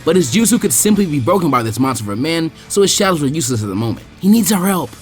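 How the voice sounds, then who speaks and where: A man's voice: fairly high pitch, soft background music. A man reading a fan story aloud as a storyteller. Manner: fast, lively storytelling. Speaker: fanfiction reader.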